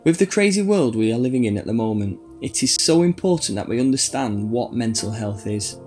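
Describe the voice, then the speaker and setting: An upbeat man hosting a school podcast, mid-pitched and friendly in an encouraging way. He reads a scripted welcome, then slips into loose casual chat with his guest. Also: a little mellow. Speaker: podcast host.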